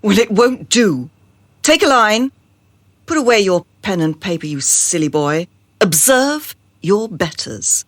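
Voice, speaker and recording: Woman to play haughty villainess Madam for radio drama: condescending, scolding, slow delivery, very animated pitch. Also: no room sound. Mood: mocking, scolding. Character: haughty, domineering Madam running a sinister experiment.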